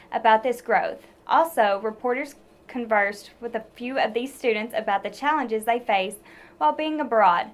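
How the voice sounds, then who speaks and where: A young woman reads campus news from a script with a fairly high, clear voice. Her delivery is even and polished, like a student news anchor.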